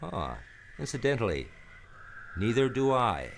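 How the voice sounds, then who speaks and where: A man's voice: medium pitch, sing-song, a little slow, creaky, a little hiss or hum. A man playing a wry, world-weary private detective in a noir radio play, terse and sardonic. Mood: wry, probing. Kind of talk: radio noir acting with first-person narration. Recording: radio play over guitar music.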